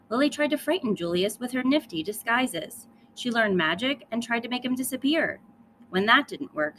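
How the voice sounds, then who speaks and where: A woman reads a children's story aloud as a schoolteacher would. She speaks to young listeners with emphatic storytelling and a lively, varied pitch. Faint noise is audible behind her. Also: poor audio. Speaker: third grade teacher reading aloud.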